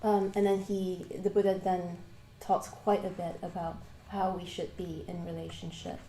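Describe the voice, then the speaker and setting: A woman from Malaysia, teaching a live Buddhist class and asking the group questions, pausing often with ums and restarts. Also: lowish voice, level tone, warm tone, slight background noise. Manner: hesitant, many ums and restarts. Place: shrine room with a live class. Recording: roomy hall ambience.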